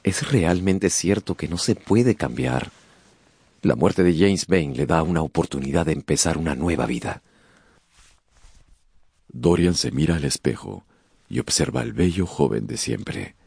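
A man's voice: rumbling low, animated, measured pace, creaky, long pauses, a little mellow, breathy edge, no room sound, clean studio sound. A man narrating a radio drama in a scripted, dramatic storytelling style. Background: music.

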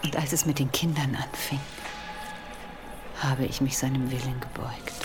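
Low-voiced ominous ancient seer woman. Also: fairly even intonation, music in the background. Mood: grave, confiding. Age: older. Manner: slow, portentous, archaic speech. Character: ancient female seer, ominous, knowing, mocking old crow. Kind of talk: audio drama acting.

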